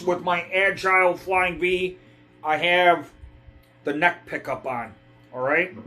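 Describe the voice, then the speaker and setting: A middle-aged man giving a guitar lesson in a rambling, digressive way, often clearing his throat. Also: high-pitched, sharp tone. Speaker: guitar teacher. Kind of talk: casual guitar lesson talk.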